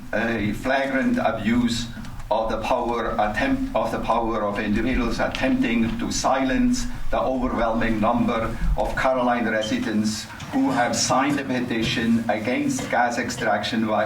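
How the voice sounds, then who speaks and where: Halting older man in an echoey hall. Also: mid-range voice. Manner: halting, formal, scholarly delivery. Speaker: resident speaking at public comment, cancer researcher. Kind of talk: prepared public address at a town meeting. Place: community center meeting hall. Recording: roomy, distant mic, faint noise.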